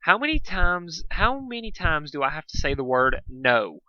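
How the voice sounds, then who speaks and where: A man's voice: a little high, big pitch swings, normal speed, sharp tone, no room sound, lo-fi sound, pitched above the performer's own voice, full character voice. A man voicing a brash young female pegasus pony in a fan fiction story, casual, sarcastic and emphatic. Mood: irritated ranting.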